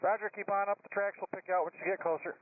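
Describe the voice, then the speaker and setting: A man's voice: high voice, steady tone, racing. A man working as an air traffic controller, giving informal, directive and encouraging instructions over a radio transmission. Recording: tinny narrowband aviation radio.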